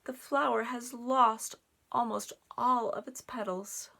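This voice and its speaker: A woman's voice: fairly high pitch, steady tone, slow, gravelly, fairly bright tone. A woman reading and explaining in simple language, as a storyteller and sign language teacher for young children. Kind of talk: picture-book read-aloud and casual sign language teaching.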